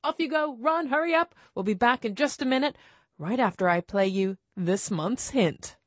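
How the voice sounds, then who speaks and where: A woman radio presenter with brisk, playful scripted links between items. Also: a little high, lively intonation, a little hiss or hum.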